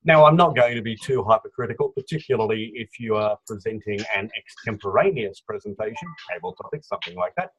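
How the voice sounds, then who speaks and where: A man's voice: medium pitch, lively intonation, fast talker, creaky, few pauses, fairly bright tone, husky, dull audio. A man explaining his filler-counting role at an online meeting, formal and wry. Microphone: video call.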